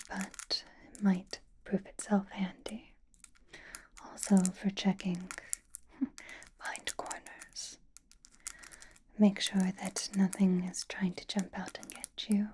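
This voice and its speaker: A woman voicing a possessive, haughty, flirtatious vampire in a breathy, intimate whisper for an ASMR roleplay. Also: medium pitch, dark tone, lightly acted. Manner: intimate, languid, disdainful, drawn-out phrasing with pauses.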